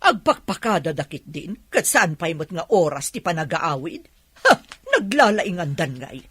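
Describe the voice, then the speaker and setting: A stern Filipino boss in a radio drama, scolds without sympathy. The voice is raspy and animated, in a dry recording. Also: mid-range voice, moderate pace, no echo. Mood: sarcastic.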